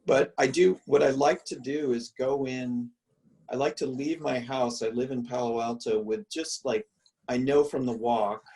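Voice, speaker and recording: A male art history professor musing aloud in relaxed conversation, full of restarts and fillers, speaking from a room at home on a video call. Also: mid-range voice, a little slow, distant mic, low-quality recording. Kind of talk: reflective conversational answers.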